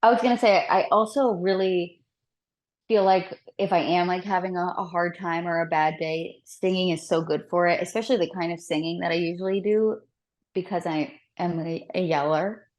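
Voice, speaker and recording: A woman's voice: fairly low pitch, fairly even intonation, moderate pace, darkish tone. A woman singer from Florida, a podcast guest, chatting casually and animatedly, with frequent laughs and lots of "like.".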